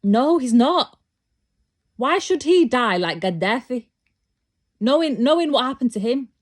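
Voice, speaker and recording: A woman's voice: high voice, sing-song, a little slow. A British woman talking casually to camera in a rambling opinion monologue, with many restarts, growing emphatic and insistent as she argues. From Britain. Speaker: vlogger.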